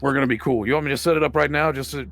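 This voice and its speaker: A man's voice: medium pitch, fairly even intonation, very fast, fairly bright tone. A man talking casually as a player in a tabletop roleplaying game, informal in his way of speaking.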